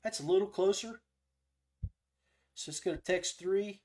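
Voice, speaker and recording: A man's voice: high voice, a little slow, a little mellow. A man hosting a casual live tutorial, thinking aloud as he demonstrates each step. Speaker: podcast host giving a software tutorial.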